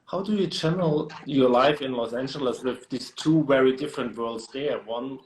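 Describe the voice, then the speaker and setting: A man's voice: mid-range voice, normal speed, rough recording. A man interviewing a musician, asking long, winding questions.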